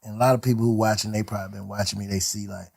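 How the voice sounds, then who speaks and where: American dude interviewing, husky with lots of fry, casual reflective slangy talk. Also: male voice, fairly low pitch, flat delivery, very fast, a little mellow.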